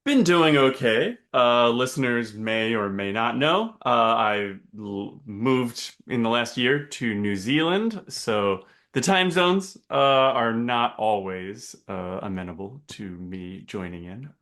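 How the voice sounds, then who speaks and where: Mid-pitched man chatting, a bit halting. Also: animated, fairly bright tone. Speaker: podcast guest panelist. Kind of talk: casual chat.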